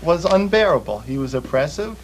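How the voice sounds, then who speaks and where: A man with a somewhat high voice, joking and teasing through a loose interview. His delivery is playful and quick with comebacks. Faint noise sits behind him. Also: very animated. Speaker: band member being interviewed.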